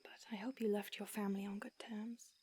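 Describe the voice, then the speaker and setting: A woman voicing a calm, centuries-old female vampire mentor in an audio roleplay, speaking in a soft, hushed whisper. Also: medium pitch, fairly quick, husky, close to an everyday voice. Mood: grave.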